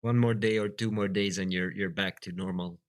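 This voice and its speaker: A Flemish man from Belgium whose first language is Dutch, chatting casually on a live stream, halting and full of fillers. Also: low voice, fairly even intonation. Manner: halting, lots of fillers and restarts. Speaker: livestreamer.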